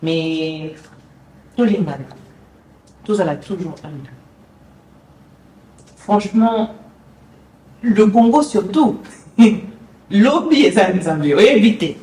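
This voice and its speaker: A woman journalist speaking as a podcast guest, earnest and reflective, trailing off softly at the ends of phrases. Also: mid-range voice, lively intonation, takes it slowly, long pauses. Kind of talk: motivational interview talk. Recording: reverberant room, distant mic.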